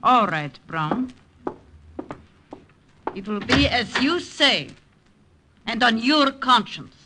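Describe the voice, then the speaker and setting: An actress playing a stern, suspicious Dutch wife, guarded and cold, speaking in formal, clipped phrases in an old-time radio drama. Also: female voice, a little high, very animated, slow pace, heavy background noise. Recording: vintage radio drama recording.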